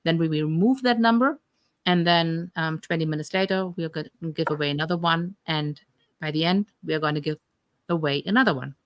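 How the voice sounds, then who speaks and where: A woman's voice: deep voice, fairly quick, no room sound. German-accented woman coaching on a live stream. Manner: chatty, many ums and restarts. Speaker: health coach, co-host. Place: home in Atlantic Canada.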